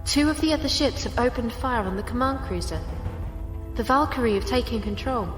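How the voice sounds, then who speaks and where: A woman's voice: on the high side, a little fast, faint music behind, natural voice. A woman playing an alert, efficient starship crew member in a sci-fi audio drama, giving brief status reports. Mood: urgent efficiency. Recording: echoey, long reverb.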